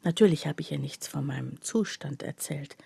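An actress playing a troubled factory worker, telling her story in a soft, husky, halting and confiding radio drama monologue. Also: deep voice, no room sound, exaggerated voice. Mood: reflective. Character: troubled female factory worker recounting her life, bewildered, plainspoken.

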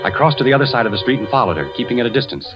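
A man's voice: mid-range voice, animated, very fast, lightly acted. An American actor playing a suspicious, anguished husband, an insurance investigator who narrates his story in a tense 1940s radio drama style. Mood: brooding, tense. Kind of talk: 1940s radio drama acting, first-person suspense narration. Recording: dull 1940s radio recording with music.

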